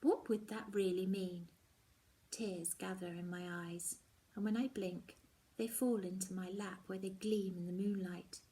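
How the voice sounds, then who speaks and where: A woman's voice: medium pitch, fairly even intonation, measured pace, dark tone. A woman narrating a first-person story expressively, with dramatic delivery that often drops toward a whisper. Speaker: story reader.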